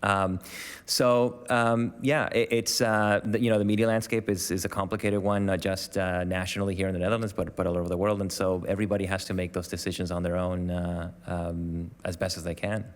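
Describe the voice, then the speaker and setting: Low-voiced man in a reverberant hall. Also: little pitch movement, fairly quick. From Venezuela. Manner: hesitant, many fillers and restarts. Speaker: panel guest, media organisation staff. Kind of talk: panel discussion answers.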